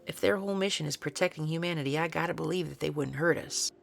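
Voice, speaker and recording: A woman acts out a weary, stubborn woman researcher in an audio drama. Her voice is on the low side and heavy with creak. She talks bluntly and dryly, with a sardonic streak. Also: quick pace, faint music behind. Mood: sardonic, resolute.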